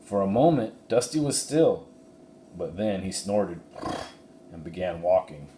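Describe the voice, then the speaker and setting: A man giving an expressive narration of a children's storybook. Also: low-pitched, a little slow. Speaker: story reader. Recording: roomy echo, faint background noise.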